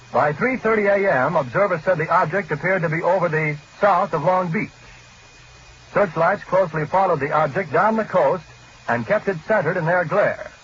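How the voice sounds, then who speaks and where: A man's voice: high voice, fairly bright tone. A man reading a scripted 1940s radio news report in a formal, factual manner. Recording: muffled, noisy 1940s radio recording.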